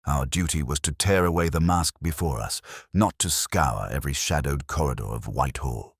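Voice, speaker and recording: A man voicing a grave, brilliant gentleman detective in a storybook, formal, measured and oratorical. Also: rumbling low, slightly rough, dry recording, clean studio sound, heavily acted. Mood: grave, explaining.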